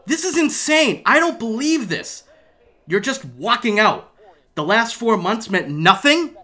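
A man's voice: very high voice, very animated, unhurried, sharp tone, heavily acted. An actor in an audio drama playing a flustered, bewildered man being left by his lover, pleading and stammering. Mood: confused, pleading.

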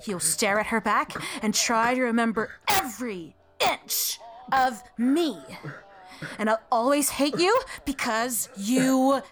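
A woman's voice: fairly high pitch, expressive, slow, a bit bright. A woman acting in an audio drama as a cold, scornful lover walking out, with a biting, menacing delivery. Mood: cold, bitter.

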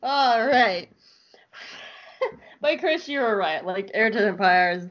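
A woman's voice: mid-range voice, a little slow, over a noisy crowd. This is a woman hosting a casual podcast in an eager, gushy way, cursing freely as she chats. Her voice sits in an ordinary middle range. The recording is dull and somewhat lo-fi. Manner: gushing, excitable, swears and laughs often. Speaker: podcast host. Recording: dull lo-fi sound.

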